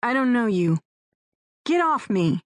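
A distrustful young woman on the run, fierce and defiant, voiced by a woman narrator a bit higher than her reading tone. Her lines come out flat and clipped, and the sound is close and dead. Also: measured pace, no echo.

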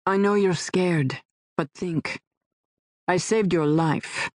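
A woman narrator voicing a tough, sardonic male rescuer who speaks in a blunt, gruff, curt way. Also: high voice, slow pace, a little mellow, no echo, clean studio sound.

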